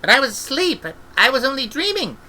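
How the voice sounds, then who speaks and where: A man's voice: very high voice, sing-song, a little fast, pushed and bright, voice pushed up, full character voice. A man voicing an earnest, anxious young girl, a meek and questioning apprentice witch in a storybook reading. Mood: bewildered.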